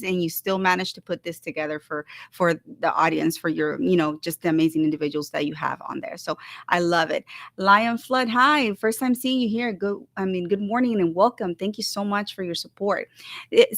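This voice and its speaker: A woman from El Salvador hosting a casual livestream talk show, bubbly and effusive, repeating words for emphasis as she gives viewers shout-outs. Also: medium pitch, no room sound.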